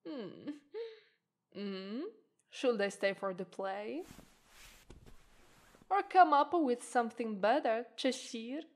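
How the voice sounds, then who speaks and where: An alluring witch drawls out her flirtatious teasing in a slightly high voice, played by a woman. She takes her time over every word, unhurried and playful. It is an ASMR roleplay, voiced by an Italian native speaker. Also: lively intonation. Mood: musing.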